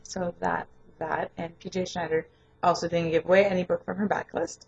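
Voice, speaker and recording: A young woman with a lingering hoarse voice, chatting casually and rambling in a home vlog, laughing often. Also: lowish voice, little pitch movement, a little fast, a little mellow, lo-fi sound. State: hoarse, voice not fully recovered. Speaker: vlogger and home daycare provider. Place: her home, indoors.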